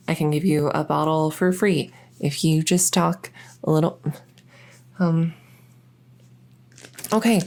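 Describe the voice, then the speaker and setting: A woman's voice: on the low side, warm tone, slight background noise. A woman playing a shy, socially awkward vampire in an ASMR roleplay, speaking hesitantly with anxious stammers. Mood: anxious, pleading.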